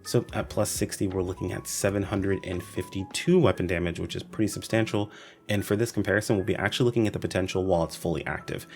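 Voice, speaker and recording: A man's voice: low-pitched, fast talker. A man giving a casual, conversational explainer commentary for a gaming video, with emphatic asides. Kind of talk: casual explainer commentary on game weapon stats. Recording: voice-over with music behind.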